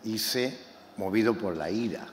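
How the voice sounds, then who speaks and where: Man, novelist from Málaga, fiery, halting, repeats himself, lowish voice, roomy hall sound, faint background noise. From Málaga, Spain. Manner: impassioned, halting, repeats words. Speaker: novelist presenting his book. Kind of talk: public book presentation talk.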